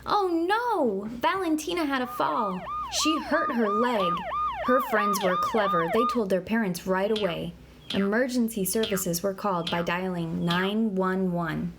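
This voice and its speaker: A woman narrating an interactive children's book in a clear, explanatory way, slowing down to spell out medical terms. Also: medium pitch, wildly swooping pitch, fairly bright tone. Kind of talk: scripted read-aloud narration for kids. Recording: clear background noise.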